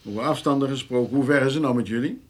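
An actor playing a gruff, disapproving middle-aged father in a radio play, curt and terse. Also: medium pitch, racing, slight background noise, close to an everyday voice. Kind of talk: Dutch radio play acting.

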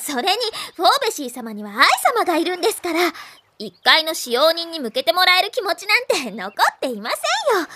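A woman's voice: very high voice, very animated, brisk, sharp tone, full character voice. A woman playing a devoted, fussy young maid who speaks politely and emphatically in an anime-style audio drama. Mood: flustered, insistent. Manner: polite, emphatic, attentive servant speech.

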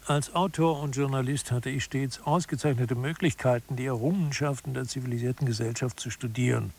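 A man's voice: mid-range voice, steady tone, fairly quick, gravelly, darkish tone, husky. An actor playing a disillusioned male author who narrates an audio drama in an articulate, wry, essayistic manner. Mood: wry dismay. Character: male author, disillusioned observer of human incompetence.

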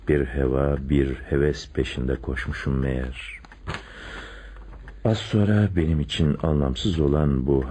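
A very deep-voiced, lovestruck crooked inspector. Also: male voice, mellow, slightly rough, exaggerated voice. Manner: theatrical, swinging from muttering to agitated cries. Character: handsome, lovestruck police inspector turned murderer, obsessive and remorseful. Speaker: radio drama actor. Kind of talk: Turkish radio theatre acting. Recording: noisy radio drama recording with clicks.

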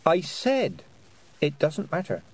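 A man's voice: a little high, huge pitch swings, slow, pitched above the performer's own voice, exaggerated voice. A man voicing a sensible, stern young girl who talks firmly, like a parent, in a storybook reading. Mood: calm, firm. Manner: firm, parental, clipped.

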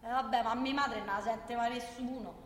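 A woman's voice: on the high side, steady tone, moderate pace, a bit bright, close to an everyday voice. An actress playing a feisty working-class woman with a Roman accent, arguing colloquially and emphatically in a theatrical radio monologue. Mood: exasperated, insistent. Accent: Roman Italian. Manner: colloquial, argumentative, emphatic, with rhetorical questions. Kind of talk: theatrical radio monologue, one side of a conversation. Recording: echoey reverberant hall, faint background noise.

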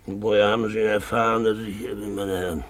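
An actor playing a boozy, jovial, lecherous gentleman in a 1950s German radio drama, gravelly and theatrical, going from grumbling mumbles to loud wheedling cries. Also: fairly low pitch, level tone, fairly quick, lightly acted. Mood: indignant. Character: boozy, roguish Russian gentleman found alive, jovial lecher.

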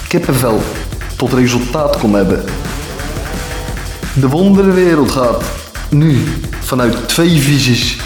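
A man's voice: medium pitch, darkish tone. Echoey male narrator over music. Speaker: podcast host and narrator. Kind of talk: scripted atmospheric narration.

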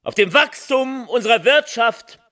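An actress playing a solemn, stirring political leader who declaims a theatrical speech in weighty, measured phrases. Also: female voice, a little high, expressive, sharp tone. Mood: proud, forceful. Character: female political leader, solemn, stirring, coldly militant orator.

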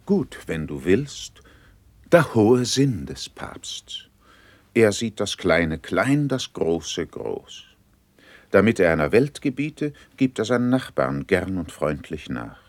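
Shrewd envoy serving a prince, verse radio drama; deep, unhurried, formal and deferential. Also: male voice, big pitch swings, no echo. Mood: measured, diplomatic. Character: shrewd, courtly male diplomat serving a prince. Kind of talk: classical verse radio-play acting.

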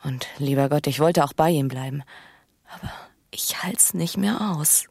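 Radio noir: restless, scheming wife, low register, urgent and seductive, whispering then flaring up, very close dry sound. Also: female voice, unhurried, vocal fry, a little mellow, dry recording, heavily acted. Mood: bitter, weary. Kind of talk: German radio crime drama acting.

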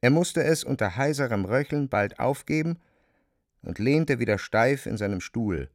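An actor as the observant narrator of a bleak village tale, reading scripted literary narration in a German radio play. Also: male voice, medium pitch, expressive, moderate pace, no echo, studio quality, close to an everyday voice.

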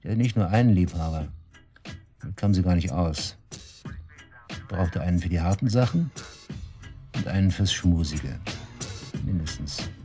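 Sneering, very deep-voiced murder suspect. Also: male voice, animated, moderate pace, pauses often, warm tone, faint music behind. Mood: sneering, scornful. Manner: taunting, cynical, coarse colloquial talk. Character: cocky, sneering male murder suspect, shady club worker. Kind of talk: German radio drama acting, interrogation dialogue.

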